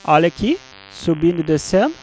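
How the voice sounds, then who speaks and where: A Brazilian man giving an informal, explanatory software tutorial, often checking that the listener follows. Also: on the high side, sing-song, brisk, slight background noise, low-quality recording. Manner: explanatory, often checking understanding with tag questions. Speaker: music software instructor.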